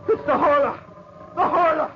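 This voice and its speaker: A man's voice: extremely high pitch, moderate pace. An actor playing a fearful, haunted old man in a 1940s radio horror drama, intense and melodramatic. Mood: frantic, fearful. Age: older. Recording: dull, noisy old radio recording.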